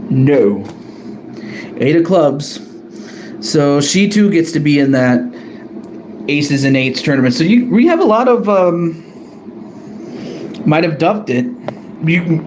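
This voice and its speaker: A man with a fairly high voice, talking slowly and hesitantly on a live stream. He often stops to correct himself and apologizes. A faint hiss sits behind him. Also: rough recording. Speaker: streamer drawing cards for a fan wrestling tournament. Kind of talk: casual live-stream commentary.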